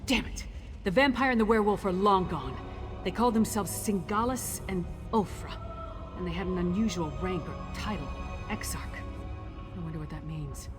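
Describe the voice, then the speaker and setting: Woman playing a low-voiced, blunt warrior woman. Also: normal speed, over music, lightly acted. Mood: angry, frustrated. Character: tough, blunt, battle-hardened warrior woman. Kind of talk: video game character dialogue.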